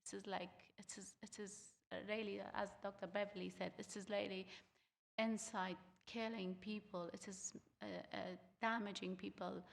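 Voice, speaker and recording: A young woman from Afghanistan whose first language is Uzbek, speaking haltingly with many fillers as a students' union equity officer on a theatre panel. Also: mid-range voice, flat delivery, creaky, big-room sound. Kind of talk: panel talk on stage. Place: theatre stage.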